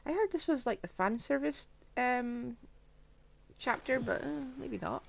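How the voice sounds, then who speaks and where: A woman's voice: on the high side, lively intonation, measured pace, creaky. A woman streaming a game, reacting casually with wry, joking asides. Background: game music.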